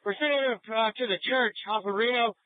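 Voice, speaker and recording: A male police officer talks fast in a high-pitched voice over a muffled scanner radio. His transmissions are urgent and procedural, with a dry, narrow sound. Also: dry recording.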